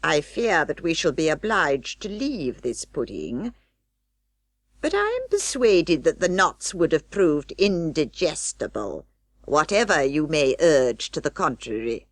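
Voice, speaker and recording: Woman voicing a slow, pompous old male rat. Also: low voice, sing-song, dry recording, studio quality, exaggerated voice. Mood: pompous, urgent. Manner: pompous, long-winded formal speech. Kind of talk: storybook character reading.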